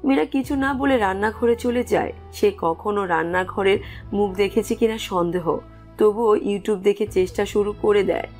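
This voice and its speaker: A woman's voice: medium pitch, normal speed, a little mellow. A woman reading scripted narration for a romantic story as its narrator. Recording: music under the voice.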